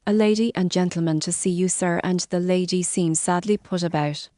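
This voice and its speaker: A woman's voice: lowish voice, steady tone, normal speed, mellow, no echo, clean studio sound, close to an everyday voice. A woman playing a respectful, concerned Victorian housekeeper in an audiobook drama. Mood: concerned, deferential. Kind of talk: dramatised audiobook character acting.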